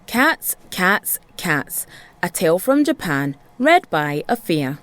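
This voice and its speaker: Female storyteller for children's tales, dramatic and rhythmic, with a highly lively, swooping pitch. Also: slow pace, slight background noise. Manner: dramatic, rhythmic delivery with repeated phrases.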